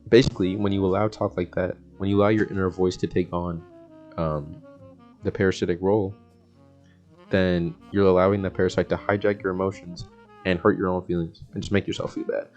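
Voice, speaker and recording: Very low-voiced young American man, casual and slangy. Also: flat delivery, fairly quick, a little mellow, lo-fi sound, soft background music. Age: young adult. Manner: slangy, laid-back, rambling, often chuckling. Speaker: vlogger. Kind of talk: casual self-help monologue.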